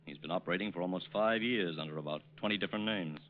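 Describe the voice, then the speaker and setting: Man playing fast, deep-voiced federal agent. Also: lightly acted. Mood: businesslike. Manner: clipped, businesslike. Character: brisk, sober, shrewd male federal agent. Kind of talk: 1940s radio drama acting. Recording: dull, noisy old radio recording.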